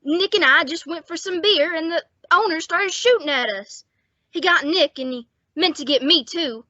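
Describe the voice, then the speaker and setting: A woman playing a frightened, exhausted young man on the run in an old-time radio drama, halting and pleading. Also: extremely high pitch, pushed and bright, no echo. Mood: desperate, defensive. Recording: dull, muffled close dry sound.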